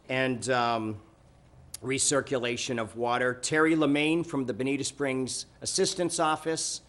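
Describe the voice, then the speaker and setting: A man chairing a city council meeting, giving informal remarks and updates in a halting, conversational way. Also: mid-range voice, a bit bright.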